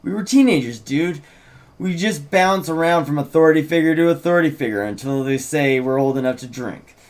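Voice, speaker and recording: A man's voice: on the high side, a little fast, lightly acted. A man reading the part of a cynical, teasing teenage girl in a fantasy script, sardonic and slangy.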